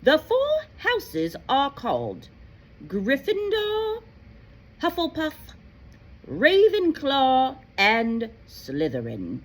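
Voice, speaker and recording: A woman voicing a stern, formal senior witch professor in a storybook, with declamatory, deliberate delivery. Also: high-pitched, huge pitch swings, pitched above the performer's own voice, heavily acted, low-quality recording. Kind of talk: storybook character voice.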